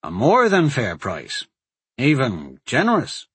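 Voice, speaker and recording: A man voicing a sheriff, loyal to his lord and firm but uneasy, speaking in a measured, cautioning way. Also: mid-range voice, huge pitch swings, a bit bright, breathy edge, no echo, full character voice. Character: worried loyal sheriff, middle-aged man, stern.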